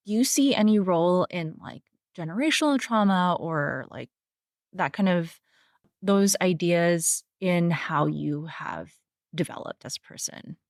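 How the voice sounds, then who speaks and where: Low-pitched woman, thoughtful host. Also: expressive, unhurried, no room sound, studio quality. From of Taiwanese family background. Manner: thoughtful, conversational, uses many fillers. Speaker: podcast host. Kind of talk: casual interview.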